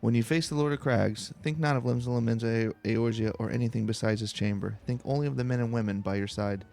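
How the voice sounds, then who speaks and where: A man hosting a calm video game let's play, reading story dialogue aloud in a relaxed, unhurried way. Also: medium pitch, gravelly.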